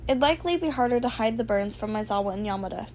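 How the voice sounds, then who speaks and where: A woman reading fan fiction aloud as an amateur narrator, in an expressive storytelling style. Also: fairly high pitch, fast. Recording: faint background noise.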